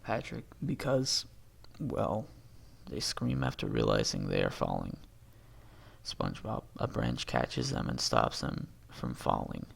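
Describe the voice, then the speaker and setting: A husky-voiced man reading a film script aloud, stage directions and dialogue alike, stumbling and correcting himself and breaking off into asides. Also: a bass voice, very animated, unhurried. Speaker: amateur reader doing a long script read-aloud.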